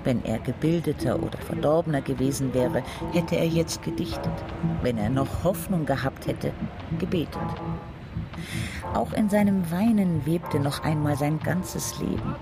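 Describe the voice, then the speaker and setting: Creaky male narrator over loud music. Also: on the high side, moderate pace. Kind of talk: literary radio drama narration.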